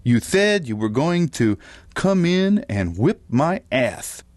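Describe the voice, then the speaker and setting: A man's voice: fairly high pitch, very animated, takes it slowly, darkish tone, dry recording. A man voicing a defiant son who mocks and taunts his mother in an audiobook, dismissive in manner. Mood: taunting. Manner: mocking, dismissive. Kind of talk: audiobook character voice.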